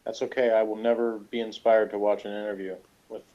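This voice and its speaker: A man's voice: lowish voice, level tone, fairly quick. A man in casual conversation, talking in an offhand, sardonic way. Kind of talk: casual chat. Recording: dull lo-fi recording.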